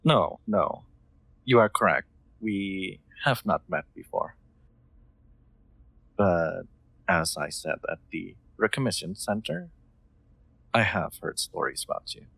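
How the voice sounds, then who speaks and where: A man playing a kind, soft-spoken master in an intimate comfort roleplay, gently reassuring with formal, slightly hesitant phrasing. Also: deep voice, expressive, slow, gravelly, pauses often, breathy edge, no room sound, exaggerated voice, poor audio.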